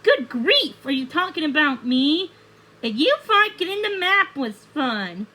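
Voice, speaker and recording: A woman's voice: high-pitched, sing-song, unhurried, pushed and bright, voice pushed up, exaggerated voice. A woman voicing a small, nervous male frog in a storybook, indignant and full of protest. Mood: alarmed. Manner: protesting, exclamatory.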